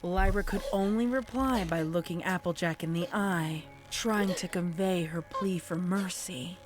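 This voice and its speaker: A woman's voice: fairly low pitch, measured pace, soft background music. A woman reading a scripted horror story as a dramatic narrator.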